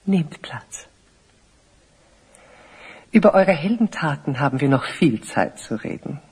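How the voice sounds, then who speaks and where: Very husky, dignified older host. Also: male voice, a little high, very animated, darkish tone, exaggerated voice. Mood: courteous. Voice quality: very husky, breathy. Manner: formal, measured, hospitable. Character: dignified older village host, proud parent, courteous. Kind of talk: German fantasy audio play acting.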